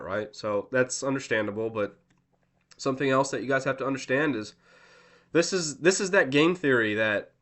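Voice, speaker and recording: A man's voice: mid-range voice, a bit bright. Congested man rambling through crypto talk. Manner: rambling, filler-heavy, self-deprecating. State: congested from a cold. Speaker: crypto channel host. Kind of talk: casual talking-to-camera market commentary.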